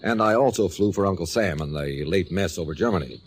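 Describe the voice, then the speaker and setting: A man's voice: sits low, brisk, husky. An actor playing a middle-aged male insurance adjuster and pilot in a 1940s radio drama, easygoing, persuasive and patient. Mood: genial.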